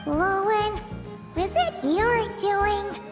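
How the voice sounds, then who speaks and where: Squeaky, very high childlike fairy guide. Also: female voice, extremely slow, over loud music, full character voice. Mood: suspicious. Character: small childlike female floating guide fairy, excitable and suspicious. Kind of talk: video game voice acting.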